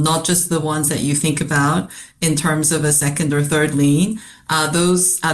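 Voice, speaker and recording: A woman from South Korea, a company CFO, answering interview questions in a measured way with frequent ums and uhs. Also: sits low. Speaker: CFO guest. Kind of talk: podcast interview.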